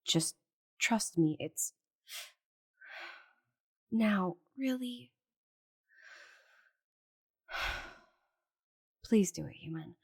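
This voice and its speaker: Breathy, haughty she-dragon played by a woman. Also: fairly low pitch, exaggerated voice. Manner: haughty, exasperated, condescending endearments, muttering to herself. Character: proud stubborn giant female dragon, flustered while shrinking. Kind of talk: ASMR roleplay.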